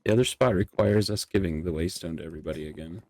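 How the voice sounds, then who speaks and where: A man's voice: low voice, fast, darkish tone, no echo. A man talking casually and teasingly with dry delivery during a gaming roleplay. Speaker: Minecraft roleplay player.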